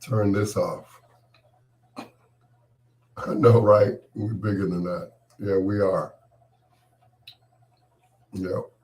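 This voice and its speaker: A man hosting an on-air monologue, talking with a cold and a partly lost voice, irritated and dismissive, his words often trailing off quietly. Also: deep voice, fairly even intonation, extremely slow, pauses often. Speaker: host.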